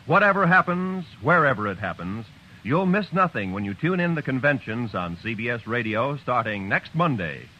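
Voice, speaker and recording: A man announcing for network radio in a crisp, emphatic, promotional 1950s style. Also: mid-range voice, very animated, moderate pace, muffled recording, a little hiss or hum.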